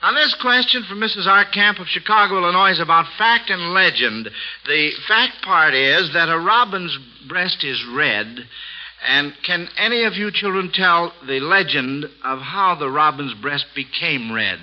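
American guy hosting an old 1940s radio quiz, high bright voice, encouraging, dull vintage recording. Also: animated, moderate pace. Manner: encouraging, praising. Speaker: quiz show host.

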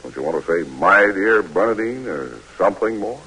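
An actor playing a calm, steady army lieutenant who also narrates, speaking briskly and plainly in 1940s radio drama. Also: male voice, low voice, slightly rough, exaggerated voice, poor audio.

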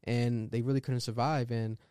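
A man's voice: mid-range voice, level tone, normal speed, warm tone, clear, resonant tone, no room sound, studio quality. A man hosting a casual interview, hyped and affirming, with a slangy way of talking. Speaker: interviewer host.

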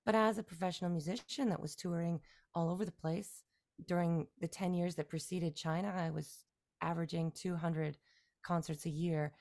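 A woman from Canada in her forties, a musician guest talking through her career in a relaxed, rambling way with fillers and restarts. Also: on the low side, moderate pace. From Canada, near Toronto. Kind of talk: casual interview conversation.

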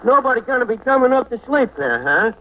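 Scheming, hesitant tavern manager, high lively voice, tinny 1940s radio comedy. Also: male voice, quick pace. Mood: scheming, flustered. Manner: hesitant, hemming and hawing, malapropisms. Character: bumbling, scheming male tavern manager, cheerfully uneducated. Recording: scratchy, narrow-band 1940s radio recording.

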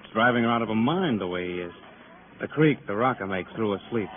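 An actor playing a talkative, wry and weary police sergeant in a 1950s radio crime drama, speaking in short colloquial sentences. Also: male voice, fairly low pitch, expressive, brisk, close to an everyday voice. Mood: exasperated. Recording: noisy, narrow-band vintage radio recording.

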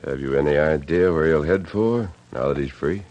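Radio Western hero: deep, calm, noble lawman who takes command, muffled noisy old broadcast. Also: normal speed. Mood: calm, assured. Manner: calm, resolute, authoritative. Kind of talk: 1940s-1950s Western radio drama acting.

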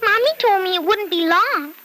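A woman's voice: very high voice, moderate pace, bright, forceful tone. A woman playing an earnest, insistent little girl with a childlike, pleading manner in an old-time radio serial. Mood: eager, insistent.

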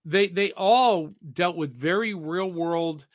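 A man co-hosting a podcast in casual, nostalgic pop-culture chat, often pausing to search for his words. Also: sits high. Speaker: podcast co-host.

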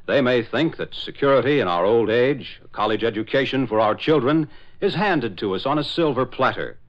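An American man announcing and narrating in dramatic 1940s radio style. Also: mid-range voice. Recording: muffled old radio recording with hiss.

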